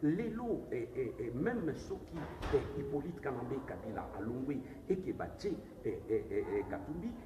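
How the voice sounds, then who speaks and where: A man's voice: mid-range voice, sing-song, moderate pace, hardly any pauses. A man with a Congolese accent argues insistently in a political interview, switching between languages as he makes his case. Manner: insistent, argumentative, code-switching. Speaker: interviewed politician, presidential candidate. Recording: distant, echoey, noisy room recording.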